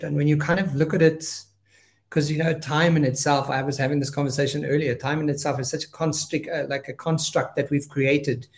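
A man's voice: a little high, a little mellow. A man hosting an interview, rambling and enthusiastic, with a frequent "you know". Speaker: host. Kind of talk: conversational interview.

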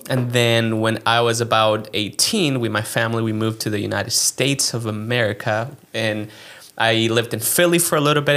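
An earnest young man from Chile talking about his life as a pastor in a relaxed interview. His voice sits in an ordinary middle pitch, and he circles back and restarts his sentences as he thinks aloud. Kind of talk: casual interview chat.

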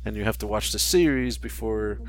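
A man's voice: fairly low pitch, normal speed. A man co-hosting a podcast, chatting casually in an informal, slangy, matter-of-fact way. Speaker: podcast co-host. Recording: background music under the voice. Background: music.

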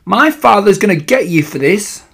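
A man voicing a greedy, spoiled young boy in an audiobook, loud, brash and demanding. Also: high-pitched, expressive, moderate pace, voice pushed up. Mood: indignant, demanding. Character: greedy, rude, bossy spoiled rich boy. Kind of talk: audiobook character voice.

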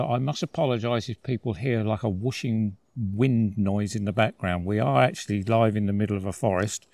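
A man with a low, gravelly voice hosts a podcast in a chatty, friendly manner, putting in little hedges as he talks. His voice sounds close and dry, even outside. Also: no room sound. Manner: friendly, conversational, some filler hedges. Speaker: podcast host. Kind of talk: podcast interview hosting. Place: outdoors in a forest.

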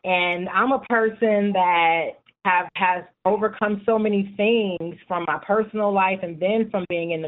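A middle-aged woman speaking earnestly and at length as a guest in a radio interview, with passionate conviction. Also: lowish voice. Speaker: guest, youth support group chapter leader. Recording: narrow tinny phone-like line.

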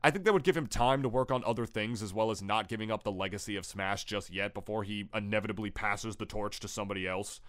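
A man's voice: on the low side, fast talker, few pauses, a bit bright. A young man with a stuffy, nasal voice from a cold, chatting casually and rambling as a podcast co-host, full of fillers and swearing. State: recovering from illness. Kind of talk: casual opinionated chat.

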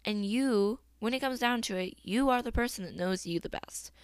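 Young woman podcasting about a song she likes, smooth clear voice, dry close mic, chatty and giggly. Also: a little high, moderate pace, no echo. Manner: chatty, upbeat, laughs often, uses fillers like "um" and "like". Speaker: podcast host. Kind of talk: casual solo podcast chat about a song.

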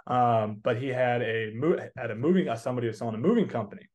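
A man's voice: mid-range voice, quick pace, a little mellow. Young Texan man with a Southern accent. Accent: Southern American. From Texas. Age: 20s. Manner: earnest, rambling, many restarts and fillers. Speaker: guest, young entrepreneur. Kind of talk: casual interview answers.

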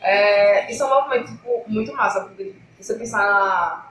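Uma mulher coapresentando um podcast, conversa casual cheia de gírias. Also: female voice, mid-range voice, brisk, a bit bright, roomy sound, slight background noise, rough recording. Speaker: podcast co-host.

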